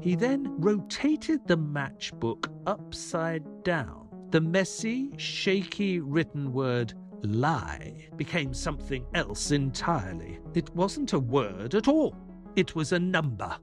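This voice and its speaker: An actor plays a detective's loyal male assistant telling the story of a mystery audio play. His voice sits a little high and moves with lively inflection. He narrates in measured phrases over soft music. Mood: suspenseful storytelling. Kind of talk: scripted audio drama narration.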